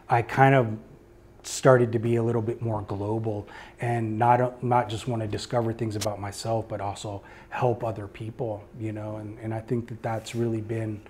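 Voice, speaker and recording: A male artist answering audience questions at a gallery talk, speaking informally in a reflective, halting, earnest way. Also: mid-range voice, a little mellow. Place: indoor art gallery before a small seated audience.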